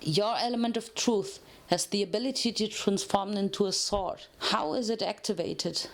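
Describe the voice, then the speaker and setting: Formal male pony interrogator read by a woman, measured, cold and menacing, cartoon fanfiction. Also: medium pitch, close to an everyday voice. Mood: probing. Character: cold, formal grey stallion professor, interrogator. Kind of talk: dramatic fanfiction reading of a cartoon.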